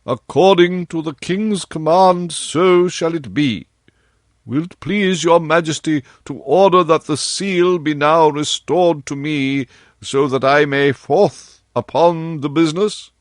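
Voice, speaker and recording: A man's voice: a little high, slow, no echo, studio quality, voice pushed up. A man voicing a deferential, anxious nobleman in a storybook, with formal, pleading archaic speech. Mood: humble, anxious. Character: deferential nobleman courtier addressing his king, anxious and humble.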